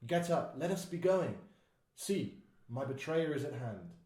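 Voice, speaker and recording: A man voicing Jesus as a calm, grave teacher in a dramatised scripture reading, speaking in a measured, solemn way. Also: fairly high pitch. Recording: echoey room.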